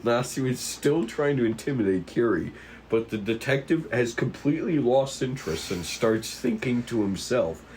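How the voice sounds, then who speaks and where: A man's voice: medium pitch, animated, normal speed, a little mellow, a little hiss or hum, poor audio. A man reading stage directions aloud as narrator at an amateur table read.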